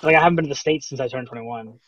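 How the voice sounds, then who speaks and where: A man's voice: medium pitch, racing, crowd noise. A man in his early twenties chatting casually as a podcast guest, slangy and bantering, on slightly dull, lo-fi audio. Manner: slangy, bantering, calls people bud. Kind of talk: casual chat.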